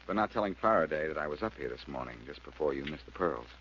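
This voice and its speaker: An actor playing a cocky, breezy amateur detective and reformed thief in 1940s radio style. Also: male voice, very deep voice, animated, fast talker, a bit bright. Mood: assured. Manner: breezy, teasing, self-assured. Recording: noisy, muffled old radio recording.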